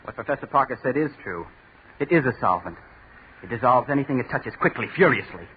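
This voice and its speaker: A man's voice: medium pitch, expressive, fast talker, lightly acted. Man playing a fervent student inventor, tinny old radio. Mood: proud, intense. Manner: intense, insistent, dramatic. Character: fervent young male college student, defiant inventor. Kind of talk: 1940s radio acting. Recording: noisy, tinny 1940s radio recording.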